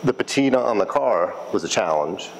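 A man speaking as a restoration technician in a casual interview, explaining in a halting, conversational way and repeating phrases. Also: lowish voice, rough recording. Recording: clear steady background noise.

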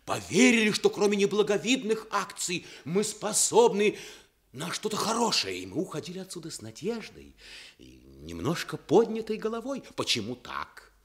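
A man's voice: sits high, wildly swooping pitch, husky, full character voice. An actor playing a wry, grandiloquent, self-deprecating man who orates with ironic politeness in a Soviet radio play. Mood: heartfelt oratory. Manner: oratorical, deliberate pauses, ironic politeness. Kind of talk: Soviet radio theatre acting.

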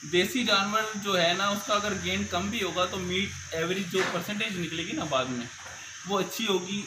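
A young man answers interview questions as a farmer. He explains with repeated filler phrases. The recording is echoey and clearly noisy, made in an open shed. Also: sits high, moderate pace. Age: young adult. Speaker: cattle farmer being interviewed. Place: open-sided cattle shed on a farm.

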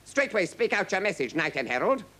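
A fierce, high-pitched storybook tyrant king. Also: sing-song, brisk, pushed and bright, exaggerated voice. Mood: haughty. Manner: imperious, exclamatory, archaic wording. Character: fierce, vengeful, pompous tyrant king, roaring orders. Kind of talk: storybook character acting.

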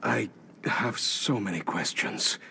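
An actor playing a middle-aged hired heist expert in a video game, polite and measured, with dry bemusement. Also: male voice, low voice, sing-song, vocal fry, pushed and bright, a little hiss or hum, heavily acted. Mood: puzzled, dry. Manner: courteous, measured, dryly puzzled. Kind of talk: video game cutscene acting.

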